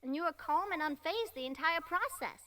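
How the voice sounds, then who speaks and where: A woman's voice: very high-pitched, lively intonation, moderate pace, bright, forceful tone, exaggerated voice. A woman voicing an eager, earnest young female unicorn pupil in a fan fiction audio reading. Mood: attentive.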